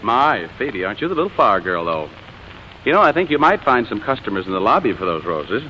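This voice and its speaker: A muffled vintage recording with faint noise holds a cocky, mid-pitched suitor. His delivery is very lively, sardonic and teasing, in 1940s comedy fashion. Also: fast talker. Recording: muffled old soundtrack, faint hiss.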